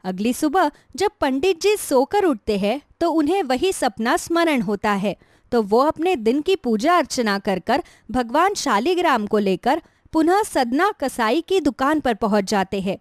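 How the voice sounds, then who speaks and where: A woman's voice: high-pitched, very animated, unhurried, dry recording, clean studio sound. A woman reading a scripted devotional tale as a storyteller for a cartoon. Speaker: storyteller, narrator.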